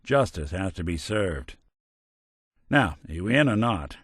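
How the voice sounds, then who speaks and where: An actor playing a world-weary, wisecracking robot private detective in a video game, with an American accent and a wry, streetwise gumshoe delivery. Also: male voice, deep voice, big pitch swings, slow pace, a bit bright, husky, dry recording, clean studio sound, heavily acted. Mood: somber, resolute. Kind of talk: scripted game dialogue in a hardboiled noir detective manner.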